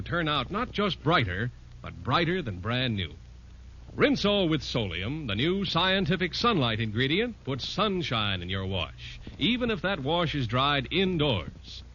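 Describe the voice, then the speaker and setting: A male announcer with a mid-pitched, forceful voice delivers an emphatic 1940s radio sales pitch. The old recording is muffled. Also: slight background noise.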